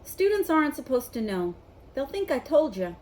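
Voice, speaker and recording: A shifty big groundskeeper as a woman reader plays him from a storybook. He is secretive and blustering, pitched high for a man, with lively swings. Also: normal speed, a little hiss or hum. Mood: furtive scolding. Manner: furtive, blustering.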